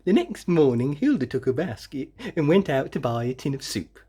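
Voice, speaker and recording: A woman reading a children's story aloud expressively, with dramatic emphasis and drawn-out words. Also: low voice, sing-song, warm tone, slight background noise. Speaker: storybook reader.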